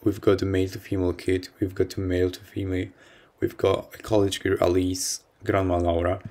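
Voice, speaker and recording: A young man hosting a software tutorial in a vlog style, talking to camera indoors. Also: sits low, flat delivery, a little fast. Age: young adult. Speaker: software demo host. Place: small indoor attic room at home.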